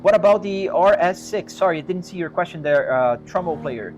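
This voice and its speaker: A man's voice: high-pitched, expressive, brisk, lo-fi sound, background noise. A man from Brazil with a Brazilian accent, chatting casually on a live stream and answering viewers in an easygoing, rambling way. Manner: easygoing, rambling, restarts and hedges. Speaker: sim racing live streamer. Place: home sim racing rig. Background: music playing.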